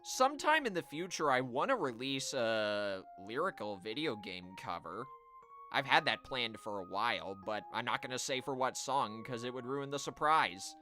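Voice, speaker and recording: A man live streaming a video game, chatting to viewers and commenting in an animated way. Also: mid-range voice, moderate pace, soft background music. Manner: animated, voice-acts game dialogue.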